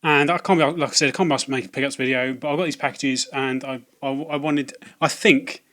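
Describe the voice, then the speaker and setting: Hoarse, hesitant man rambling. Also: medium pitch, steady tone, a little fast, a bit bright. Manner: hesitant, lots of ums and restarts, wry asides. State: slightly hoarse. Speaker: video game collecting vlogger. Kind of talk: casual rambling vlog to camera.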